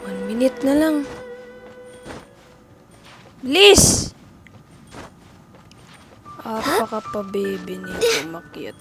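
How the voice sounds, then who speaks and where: Slow, swearing woman gamer. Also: on the high side, sing-song, gravelly, music in the background. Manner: swears often, mixes languages, mutters. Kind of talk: casual gameplay commentary.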